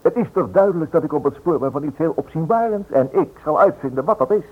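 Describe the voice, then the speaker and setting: A man's voice: a little high, lively intonation, fast talker. An actor playing a stubborn, protective husband and father in a radio drama, urgent and dismissive. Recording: muffled old radio play recording.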